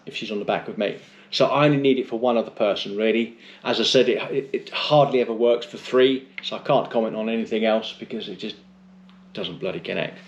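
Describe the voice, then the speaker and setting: A man's voice: medium pitch, muffled recording, slight background noise. A middle-aged British man giving a casual, unscripted product review in a blunt, chatty, rambling way with mild swearing. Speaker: motorcycle vlogger reviewing gear.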